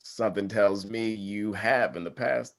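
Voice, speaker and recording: An actor playing an awkward, self-deprecating, good-natured young groom in a comedy table read, casual and dry. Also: male voice, on the low side, unhurried, gravelly. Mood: playful.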